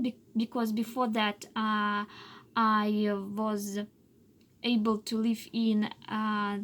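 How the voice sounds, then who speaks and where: Woman, late 20s, slow halting english full of uhs, bit of a high voice. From Russia. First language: Chuvash. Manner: halting, many filler pauses and restarts. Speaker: English learner telling her life story. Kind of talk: unscripted personal monologue.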